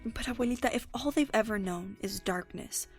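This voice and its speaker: A woman plays an idealistic, soft-hearted granddaughter in an audio drama. Her voice is fairly high. Faint music plays behind her. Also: moderate pace, lightly acted. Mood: earnest, pleading.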